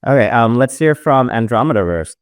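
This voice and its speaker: A man's voice: mid-range voice, animated, a little fast, smooth, dry recording, studio quality. A man hosting a casual live audio chat, asking upbeat questions with lots of fillers.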